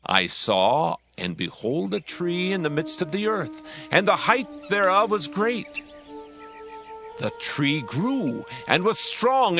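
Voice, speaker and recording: A man's voice: on the high side, full character voice. An actor playing a proud, awestruck king of ancient Babylon, declaiming solemnly in a dramatized scripture reading. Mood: grave, troubled. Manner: grand, declamatory, solemn proclaiming. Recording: dull band-limited audio under loud music. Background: loud dramatic music.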